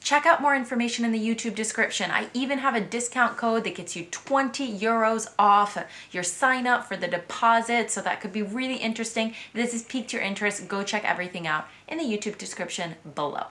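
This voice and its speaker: A woman's voice: on the high side, brisk, few pauses, bright, forceful tone. An American woman in her thirties, chatting playfully to camera in a casual vlog. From United States. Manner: chatty and playful, with light asides. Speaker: vlogger.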